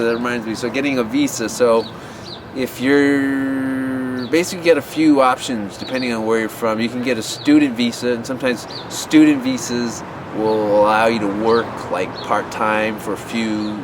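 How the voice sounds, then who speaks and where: A man's voice: mid-range voice, steady tone, moderate pace. A man giving offhand advice about teaching abroad. He rambles and often restarts with fillers. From USA. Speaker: English teaching advice vlogger. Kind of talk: unscripted advice talk to camera. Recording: outdoor street noise.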